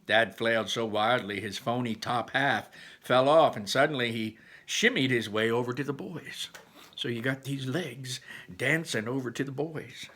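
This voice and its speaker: A man reading a storybook aloud in an animated manner, stepping out for chatty explanations to his listeners. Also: mid-range voice, normal speed.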